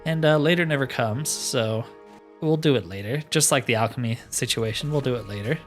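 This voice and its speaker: A man's voice: medium pitch, a little fast, faint music behind. A man hosting a let's play with casual, laid-back, rambling commentary. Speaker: host of a gaming series.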